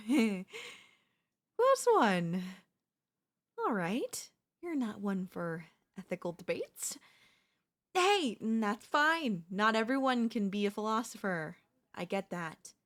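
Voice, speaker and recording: Chatty, slow-talking female zombie, acted by a woman, lively pitch. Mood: coaxing, wheedling. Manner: coaxing, casual, conversational reasoning. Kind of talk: ASMR audio roleplay acting, comedic.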